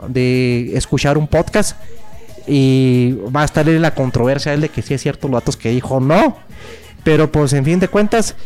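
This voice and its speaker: A man's voice: on the high side, darkish tone, clean tone, voice pushed down, lightly acted. A man playing a crude old fortune-teller woman, doña Tana. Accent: Mexican Spanish. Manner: scolding, insulting, mock-mystical. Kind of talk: improvised comedy sketch.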